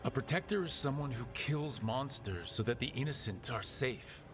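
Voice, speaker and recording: Casting: male actor as determined young-hero type for a fantasy game, direct, earnest, mid-pitched, music clearly underneath. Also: animated, normal speed, natural voice. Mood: insistent. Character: earnest, determined heroic male protector pleading his case. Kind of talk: dramatic video game acting.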